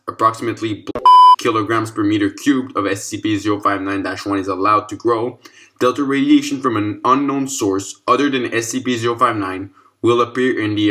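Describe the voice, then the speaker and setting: A man with a fairly low voice narrates a written case file. His delivery is formal and clinical, as scripted scientific narration. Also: pushed and bright.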